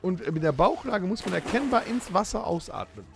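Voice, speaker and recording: This is a man with a lively, crackly voice. He talks casually as a podcast co-host, explaining rules to his friends, and his intonation moves around a great deal. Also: sits high, fairly quick, vocal fry, music in the background.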